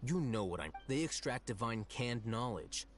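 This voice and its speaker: An actor playing an aloof, condescending scholar in a video game, speaking in a dry, controlled way. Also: male voice, mid-range voice, animated, normal speed, faint music behind, lightly acted. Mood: interrogating. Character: cool, aloof male scholar interrogating captives.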